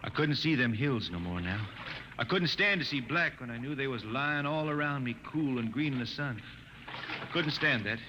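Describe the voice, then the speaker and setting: A man's voice: mid-range voice, expressive, moderate pace, lightly acted. An actor playing a blind, weary drifter spaceman and singer from the Ozark hills, speaking in a folksy rural drawl with a wistful, stubborn manner, in a 1950s radio drama. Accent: Ozarks rural American. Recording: muffled old radio broadcast with hiss.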